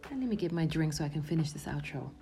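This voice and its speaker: A woman's voice: low voice, lively intonation, racing, warm tone, husky, soft background music. A woman in her thirties hosting a podcast, speaking in an earnest, reflective monologue that often sinks to a near-whisper. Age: 30s. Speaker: podcast host.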